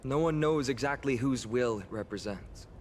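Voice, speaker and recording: A man's voice: mid-range voice, moderate pace, a little hiss or hum, close to an everyday voice. A man playing an earnest, courteous young soldier hero in a video game. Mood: solemn, earnest. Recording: game music under the voice.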